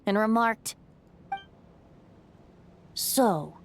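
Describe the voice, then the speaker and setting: A woman's voice: medium pitch, drawn-out words, a little hiss or hum. A woman voicing a playful male bard god in a game, telling a tale in a lilting, theatrical way. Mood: storytelling.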